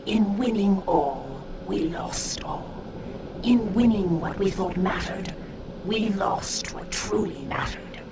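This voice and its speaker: Eerie female spirit in a game, super slow whispery chanting, far from mic, loud noise around it. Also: mid-range voice, lively intonation. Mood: mournful, urgent. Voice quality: breathy, whispered phrase endings. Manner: slow, incantatory, dramatic. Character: eerie, mournful female spirit offering death. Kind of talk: theatrical game acting. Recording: distant voice, loud ambient noise.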